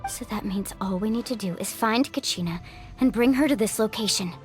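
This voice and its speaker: A woman's voice: mid-range voice, fairly quick, soft background music, close to an everyday voice. A woman voicing a composed, focused young female adventurer in a video game, matter-of-fact in manner.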